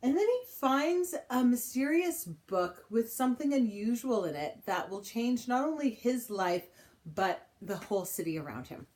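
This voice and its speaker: A woman reading a children's picture book aloud in an expressive style, with deliberate, dramatic phrasing and pauses. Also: a little high. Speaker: storybook reader.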